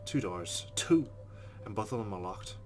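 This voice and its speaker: Low-voiced man reading game narration. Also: lively intonation, normal speed, faint music behind. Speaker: let's play host. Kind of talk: reading visual novel narration aloud.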